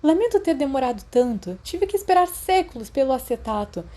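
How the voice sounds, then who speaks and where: Teenage schoolgirl for audiobook fiction, played by a woman: eager, insistent, warm-hearted, pitched up. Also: big pitch swings, a little fast. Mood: brisk, eager. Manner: emphatic, eager. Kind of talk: fan fiction audiobook character voice.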